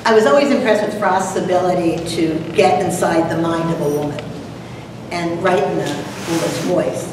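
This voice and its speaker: A middle-aged or older woman speaking to an audience, telling a personal anecdote before a reading. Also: moderate pace. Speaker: speaker and dramatic reader. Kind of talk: introductory talk with personal anecdote. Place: large lecture room. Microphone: distant camera mic. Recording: very echoey hall, distant, noisy rumble.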